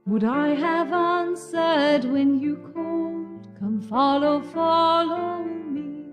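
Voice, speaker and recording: A woman singer performing a slow hymn, with a long reverberant sound. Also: sits high. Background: music.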